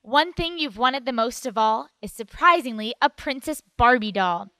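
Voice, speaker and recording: A woman's voice: on the high side, lively intonation, normal speed, pushed and bright, clear, resonant tone, no echo, pitched above the performer's own voice, rough recording. A woman voicing a bossy, teasing little girl in a storybook reading, sing-song and tattling.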